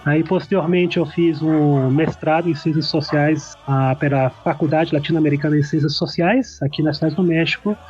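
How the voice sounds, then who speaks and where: A Brazilian man in his thirties, a sociologist guest chatting casually on a podcast, easygoing and quick to laugh. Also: fairly high pitch, steady tone, darkish tone, lo-fi sound. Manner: easygoing, laughs often, many "né" tags.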